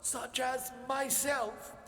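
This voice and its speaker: An ancient rambling bishop. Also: male voice, very high-pitched, steady tone, vocal fry, fairly bright tone, exaggerated voice. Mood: lecturing. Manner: slow, quavering, rambling delivery. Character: ancient doddering male bishop, long-winded. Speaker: voice actor. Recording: echoey reverberant room.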